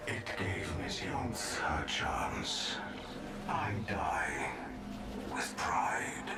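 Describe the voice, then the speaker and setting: A man's voice: deep voice, huge pitch swings, extremely slow, gravelly, heavy background noise, full character voice. A man voicing a proud, defiant guardian warrior creature in a fantasy game, grave and vehement. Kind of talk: dramatic fantasy game acting. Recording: echoey, distant, under loud music. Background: loud game music.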